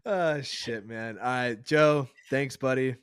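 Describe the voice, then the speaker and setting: A young man hosting a podcast, bantering in a relaxed way and swearing casually. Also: medium pitch, slow pace, clean tone, dry recording, clean studio sound. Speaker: podcast host. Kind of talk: casual chat.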